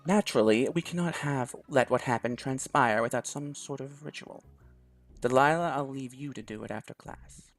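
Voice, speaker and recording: A stern, formal schoolteacher woman, as a man puts her on in a visual novel reading. The voice is gravelly and a touch raised. Faint music plays under the lines. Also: mid-range voice, moderate pace, close to an everyday voice.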